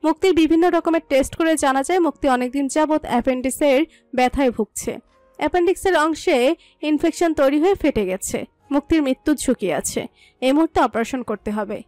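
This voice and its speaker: A woman narrates a scripted dramatic story. Her voice is high and sits in a fairly dry recording, with soft music behind her. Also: no room sound.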